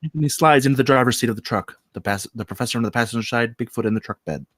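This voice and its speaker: A man's voice: mid-range voice, very fast, fairly bright tone, no room sound, clean studio sound. A man narrating, reading screenplay action aloud at a table read. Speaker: script reader, narrator.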